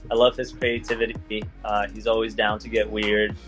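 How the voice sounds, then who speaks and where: A man's voice: fairly low pitch, little pitch movement, normal speed, a bit bright, faint music behind. Laid-back young Belgian-born man. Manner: laid-back, many fillers and "like". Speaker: guest skater. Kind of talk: casual interview chat.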